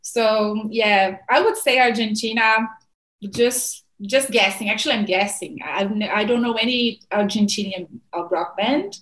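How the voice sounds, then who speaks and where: A woman's voice: mid-range voice, measured pace, poor audio. Brazilian woman chatting in English. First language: Portuguese. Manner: relaxed, hesitant, searching for words. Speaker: guest. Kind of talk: casual conversation.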